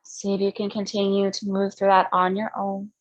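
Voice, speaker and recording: A woman yoga instructor leading a guided breathing meditation in short, gentle step-by-step cues. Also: mid-range voice, completely flat delivery, normal speed, muffled recording.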